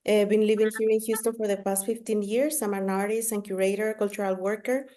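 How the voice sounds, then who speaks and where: Woman from mexico, calm and even, mellow kinda flat voice on a video call. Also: medium pitch, fast talker. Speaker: artist and arts administrator. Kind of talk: self-introduction on a panel.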